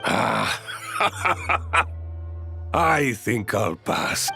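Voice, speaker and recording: A man's voice: mid-range voice, lively intonation, drawn-out words, vocal fry, bright, forceful tone, soft background music, exaggerated voice. A man playing an affable, easygoing adventurer in an anime game, with a conversational manner.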